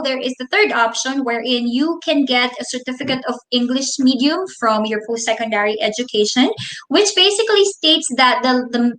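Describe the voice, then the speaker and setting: A Filipino woman in her thirties answers viewers' questions on a live stream, reading their comments and explaining at length. Her voice sits high and sounds bright and clear. The room around her is echoey. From Philippines. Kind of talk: casual live Q&A, switching between English and Tagalog.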